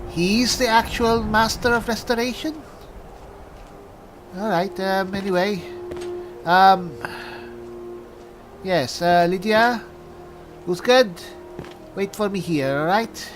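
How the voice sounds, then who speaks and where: A man plays through a fantasy game in character, speaking slowly in a fairly high voice. He is halting and often mutters to himself, with music clearly playing behind him. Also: rough recording. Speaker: gamer playing a roleplaying video game. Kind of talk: in-character roleplay commentary.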